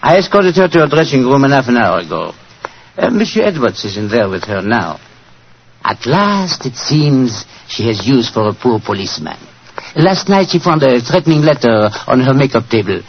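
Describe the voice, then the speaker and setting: An actor playing a courteous, wry French police inspector with a French accent, in 1940s radio acting style. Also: male voice, mid-range voice, big pitch swings, normal speed. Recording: dull old radio recording, faint noise.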